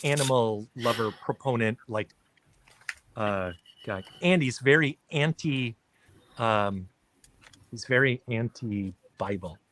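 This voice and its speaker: A man on a casual group voice call, curious and asking questions. He talks in a halting way, filling gaps with ums and restarts. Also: mid-range voice, a bit bright, a little hiss or hum.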